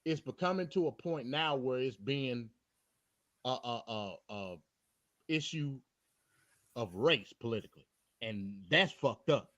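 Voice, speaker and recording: A man's voice: medium pitch, expressive, a little slow, pauses often, fairly bright tone. A man speaking bluntly and with profanity, arguing casually about politics as a call-in guest over a phone.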